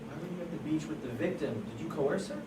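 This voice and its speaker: A performer at an amateur table read playing an agitated police officer who presses suspects with halting, repeated questions. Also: mid-range voice, lively intonation, natural voice. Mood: probing, stern. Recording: distant, echoey room, noisy.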